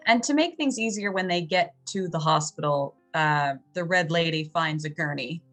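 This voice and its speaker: Lower-pitched woman narrating over music. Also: normal speed, fairly bright tone. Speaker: game master narrating scenes. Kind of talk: improvised conversational game narration. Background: background music.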